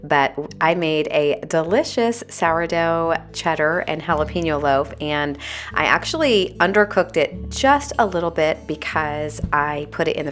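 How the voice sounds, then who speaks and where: A woman with a raspy, hoarse voice, chatting candidly as a homemaking vlogger. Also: sits low. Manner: chatty, candid, a little exasperated. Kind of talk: casual vlog chat.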